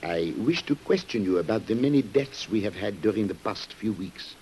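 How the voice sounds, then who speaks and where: Man acting deep-voiced, shrewd police commissioner, hissy recording. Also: lively intonation, moderate pace, darkish tone, natural voice, poor audio. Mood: probing, firm. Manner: formal, probing, authoritative. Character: shrewd, courteous but suspicious French police commissioner. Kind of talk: 1950s radio drama acting. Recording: hissy old radio recording.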